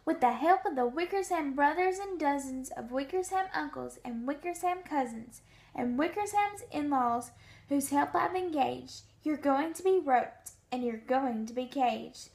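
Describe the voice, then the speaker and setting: A woman's voice: high voice, gravelly, full character voice. A woman voicing a big, haughty, scornful kangaroo in a storybook, bossy and snappish. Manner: snappish, emphatic.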